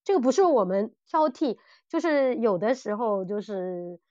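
A woman's voice: on the high side, expressive, moderate pace, dark tone, no room sound, lo-fi sound. A woman co-hosting a podcast in a casual discussion, circling back and repeating phrases as she works out her point. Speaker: podcast co-host. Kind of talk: casual discussion of audio dramas.

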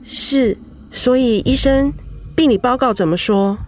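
A woman's voice: fairly high pitch, a little slow, a little hiss or hum, close to an everyday voice. An actress playing a young married woman, a composed but anxious patient, in a radio drama. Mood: anxious, polite. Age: young adult.

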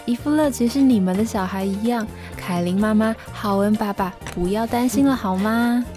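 A woman's voice: medium pitch, moderate pace, music in the background, natural voice. A young woman acting a responsible, caring language tutor in an audio drama, instructive and reassuring. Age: young adult. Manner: instructive, reassuring, gently strict.

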